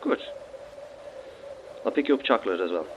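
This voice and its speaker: An actor playing a wry, breezy, teasing Irish boyfriend phoning home in a naturalistic audio drama. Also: male voice, on the low side, expressive, moderate pace, close to an everyday voice. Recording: muffled, noisy call from car.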